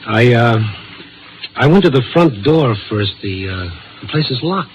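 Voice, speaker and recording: An actor in a 1950s radio drama playing a hard-boiled newspaper reporter, wry, clipped and nosy. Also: male voice, mid-range voice, expressive, unhurried, highs cut off, a little hiss or hum, lightly acted. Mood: probing.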